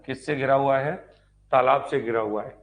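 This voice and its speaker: Male exam-prep history lecturer, medium pitch, dictates notes didactically and restates each point with quick questions. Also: fairly even intonation, creaky. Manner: didactic, repeats points and asks rhetorical check questions. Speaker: history teacher for exam preparation.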